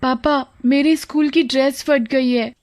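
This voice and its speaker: A woman's voice: high-pitched, fairly even intonation, unhurried, darkish tone, dry recording, clean studio sound, faint music behind, voice pushed up. A woman voicing an insistent, whiny young schoolgirl in a cartoon story. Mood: insistent, complaining.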